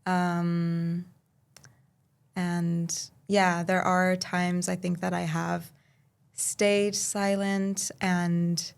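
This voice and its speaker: A woman from Turkey and Cyprus, raised in Saudi Arabia, speaking as a poet guest in a relaxed interview and pausing often to think. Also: on the low side, creaky, smooth. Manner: thoughtful, pauses often with "um". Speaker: podcast guest, spoken word poet. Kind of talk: casual conversational interview.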